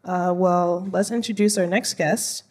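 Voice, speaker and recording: A woman's voice: lowish voice, steady tone, a little slow, a little mellow, husky. Young woman moderating a stage panel. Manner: friendly and brief, with short questions and small acknowledgements. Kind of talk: live panel hosting. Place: theater stage in front of a live audience. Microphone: handheld mic.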